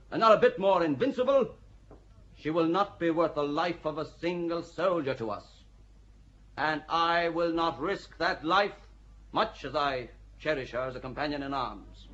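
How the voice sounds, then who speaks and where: Stern seasoned commander, slightly high voice, measured, lo-fi radio-play sound. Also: male voice, pushed and bright. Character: seasoned male army commander, blunt and pragmatic. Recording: dull lo-fi recording.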